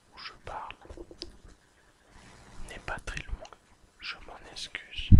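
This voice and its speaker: Very slow whispered ASMR narrator. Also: low-quality recording. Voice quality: breathy, unvoiced whisper. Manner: slow, deliberate, in short pauses-separated phrases. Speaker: host of an ASMR anime recap channel. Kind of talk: whispered ASMR episode summary and commentary.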